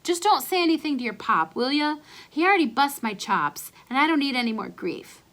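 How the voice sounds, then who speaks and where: Storybook uncle, played by a female reader: breezy young wise guy, teasing, slangy, animated delivery. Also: high-pitched, a little fast, voice pushed up. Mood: grumbling, evasive. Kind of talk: children's storybook read-aloud.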